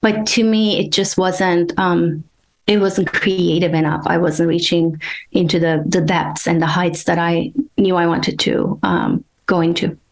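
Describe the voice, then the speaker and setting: A woman from Turkey, a guest in an interview, speaking hesitantly and thoughtfully with many pauses and ums. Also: on the low side, steady tone, poor audio. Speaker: guest, energy healer. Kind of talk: casual interview talk.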